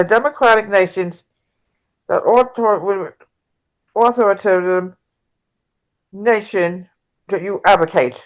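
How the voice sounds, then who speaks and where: A woman playing a progressive, combative presidential candidate in an amateur table read, reading haltingly with stumbles. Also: mid-range voice, lightly acted, rough recording. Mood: accusing.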